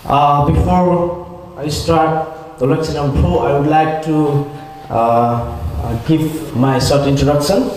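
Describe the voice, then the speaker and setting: A fairly high-voiced man from Nepal preaches earnestly into a handheld mic on a stage. His speech comes in halting English phrases broken by fillers. The hall around him rings with heavy echo. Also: steady tone, a little mellow, a little hiss or hum. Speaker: visiting pastor and preacher. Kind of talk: conference sermon in English, interpreted line by line. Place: large hall stage with red curtain. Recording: very echoey hall.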